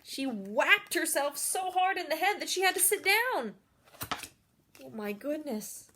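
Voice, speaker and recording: A woman's voice: sits high, big pitch swings, measured pace, pushed and bright, a little hiss or hum. A woman reading a picture book aloud expressively, with chatty asides addressed straight to young listeners. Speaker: storyteller reading to children.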